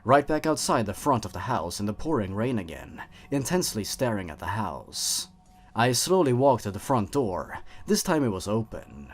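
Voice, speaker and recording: A man's voice: on the low side, sing-song, moderate pace. A man narrating scripted horror stories in a suspenseful, theatrical way.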